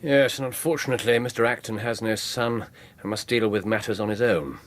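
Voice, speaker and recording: An actor playing a shrewd, courteous, probing detective in a radio drama. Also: male voice, fairly low pitch, a little fast, natural voice. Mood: affable. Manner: polite, probing, disarmingly casual. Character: shrewd, courteous, inquisitive male consulting detective.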